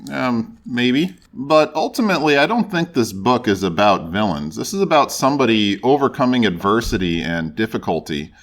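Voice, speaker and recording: A man hosting a children's storytime, narrating and chatting in an expressive, encouraging way. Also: medium pitch, moderate pace, few pauses. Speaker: storytime host.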